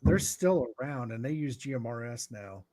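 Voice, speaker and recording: Middle aged american guy, normal pitch, explains stuff casually, kinda halting and thinking out loud. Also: animated. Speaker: guest radio expert. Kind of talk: informal explanatory talk.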